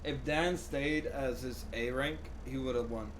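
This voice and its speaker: A man's voice: medium pitch, a little slow, noisy background. A man doing casual, slangy live game-stream commentary with playful, joking asides. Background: video game music.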